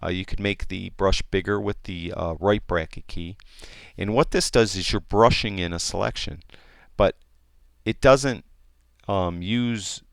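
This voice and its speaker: Gravelly low-voiced man teaching software. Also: no echo, clean studio sound. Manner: rambling, many fillers and restarts. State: losing his voice. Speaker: software tutorial instructor. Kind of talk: casual screen-recorded tutorial.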